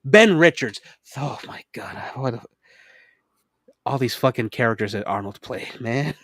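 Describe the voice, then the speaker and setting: A man co-hosting a casual podcast, talking excitedly, laughing often and swearing freely. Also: medium pitch, a little slow, dry recording, clean studio sound. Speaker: podcast co-host. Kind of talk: casual chat.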